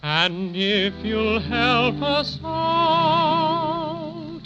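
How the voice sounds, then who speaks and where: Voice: high-pitched, fairly even intonation, drawn-out words. A performer playing a small, earnest cinnamon bear, singing rhyming verse in a 1930s radio serial for children. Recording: dull 1930s radio transcription, music behind.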